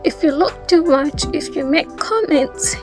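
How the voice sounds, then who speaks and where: A woman's voice: high-pitched, a little slow, over music. A young woman from Uganda with a Ugandan accent, talking casually to her viewers as a vlogger. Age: young adult. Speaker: YouTube vlogger.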